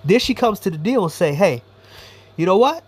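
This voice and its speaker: A man's voice: high-pitched, very animated, normal speed, husky. A man giving a blunt, profane, rambling commentary monologue as a YouTuber.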